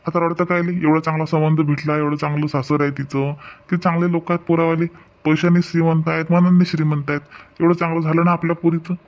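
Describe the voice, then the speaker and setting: A man's voice: fairly high pitch, level tone, fast talker, muffled recording, lightly acted. A man voicing a practical, reassuring middle-aged village father in a cartoon family skit, with a homely Varhadi Marathi accent. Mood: consoling.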